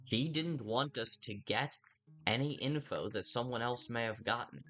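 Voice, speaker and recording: A man's voice: mid-range voice, moderate pace, fairly bright tone, soft background music. A young man livestreaming a video game, chatting and reacting to viewers' messages, a little tired, with a dry mouth. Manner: chatty, reads chat aloud, mock-exasperated. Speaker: streamer playing a video game. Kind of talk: casual livestream chat.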